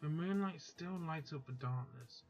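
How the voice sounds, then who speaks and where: A man's voice: on the high side, unhurried, darkish tone, dull audio, soft background music. A man hosting a let's play, chatting casually and then reading a visual novel's text aloud.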